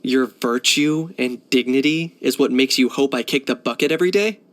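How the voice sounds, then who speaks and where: A man's voice: mid-range voice, normal speed, fairly bright tone, lightly acted. A man playing a cool, pragmatic young hunter and mercenary in a video game, composed and matter-of-fact with a dry delivery. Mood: dry, unimpressed. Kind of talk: video game character acting.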